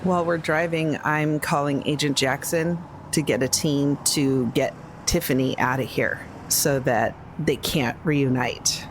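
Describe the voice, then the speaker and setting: A woman's voice: low-pitched, fairly even intonation, unhurried. A woman chats casually during a tabletop roleplaying game. Some noise can be heard in the background. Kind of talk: casual chat.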